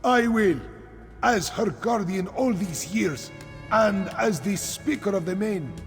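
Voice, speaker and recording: An actor voicing a devoted older catfolk guardian in a video game, with a formal, declamatory way of speaking. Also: male voice, high-pitched, creaky, exaggerated voice. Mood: proclaiming. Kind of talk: scripted video game voice acting.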